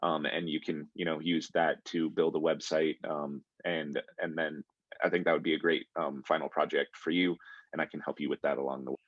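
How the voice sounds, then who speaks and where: A man who is a coding instructor, leading an online class and calling on students, friendly and halting with lots of ums and restarts. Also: extremely low pitch, little pitch movement, no echo. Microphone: video call. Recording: muffled video call audio.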